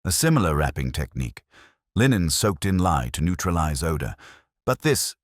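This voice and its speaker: A man voicing an incisive, commanding English detective in an audiobook, with measured, clipped delivery. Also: extremely low pitch, very animated, no room sound, studio quality, heavily acted. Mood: brisk, probing.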